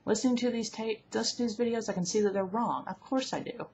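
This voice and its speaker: A woman's voice: fairly high pitch, quick pace, a little mellow. A woman in her thirties from Alabama with a mild Southern accent, chatting candidly to a webcam at home and often correcting herself mid-sentence. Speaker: vlogger. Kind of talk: casual talk to camera. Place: at home indoors. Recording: dull lo-fi webcam audio with faint hiss.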